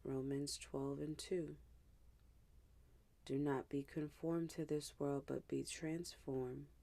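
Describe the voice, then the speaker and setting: Woman, meditation guide, very slow pace, creaky low edge, hushed, gentle, soothing scripture-based narration. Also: level tone. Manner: hushed, gentle, unhurried, with pauses.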